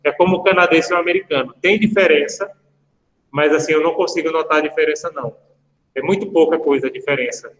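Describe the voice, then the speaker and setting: Guy lecturing, bit high voice, chatty tangents, roomy echo. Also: fast, a bit bright. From Brazil. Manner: chatty, anecdotal, jokey asides to students. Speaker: law professor. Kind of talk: casual online lecture. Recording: echoey room with long reverb.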